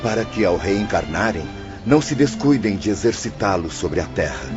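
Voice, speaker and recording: Man playing a low-voiced, solemn spirit mentor. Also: animated, normal speed, loud music behind the voice, lightly acted. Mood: solemn, instructive. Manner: formal, didactic. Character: male spirit guide, patient, solemn, learned mentor. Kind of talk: scripted audio drama. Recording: dull lo-fi audio, faint noisy ambience.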